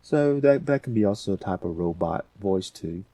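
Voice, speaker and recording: A man with a very low, dark and husky voice explains software steps in a casual tutorial. He thinks aloud informally, and the sound is close and dry. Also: animated, normal speed, no echo. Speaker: audio editing tutorial host.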